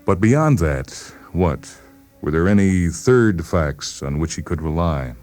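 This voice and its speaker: A man narrating a literary short story in a deliberate, brooding way with dramatic pauses. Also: sits low, lively intonation, a little mellow. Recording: music behind the voice. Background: music.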